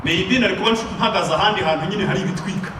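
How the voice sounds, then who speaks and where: A man's voice: high voice, rapid-fire, distant mic. A Rwandan man preaching a sermon with emphatic call-and-response, paced for an interpreter. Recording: echoey room, picked up from afar, noisy.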